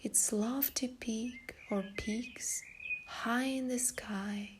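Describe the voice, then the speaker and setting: A woman leading a guided meditation in a soft, breathy, soothing delivery. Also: on the high side, fairly even intonation, very slow, noisy background.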